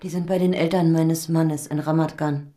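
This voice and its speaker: A woman's voice: low-pitched, fairly even intonation, moderate pace, dark tone, natural voice. An actress playing an exhausted, strained mother with little strength left, in a radio drama. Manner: weary, strained. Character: exhausted, drained mother of missing boy.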